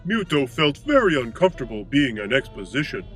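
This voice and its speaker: A man's voice: mid-range voice, big pitch swings, a little fast, a bit bright, soft background music. A man voicing a childlike, sensitive and confused cloned creature in an audio comedy, talking in stilted broken English about itself in the third person. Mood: matter-of-fact.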